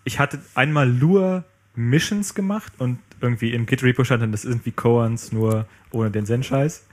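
Man, husky, casual tech chat, rambling, playful. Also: mid-range voice, moderate pace, darkish tone. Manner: rambling, playful, many fillers.

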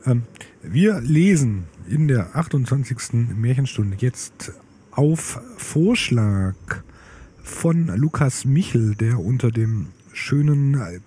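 A man with a head cold talks in a rough, husky voice that sits dark and mellow. He chats in a relaxed way, chuckling now and then and filling pauses with "ähm". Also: mid-range voice. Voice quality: rough, slightly raspy. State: hoarse with a cold. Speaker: podcast co-host. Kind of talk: casual chat. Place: outdoor terrace. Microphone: own microphone.

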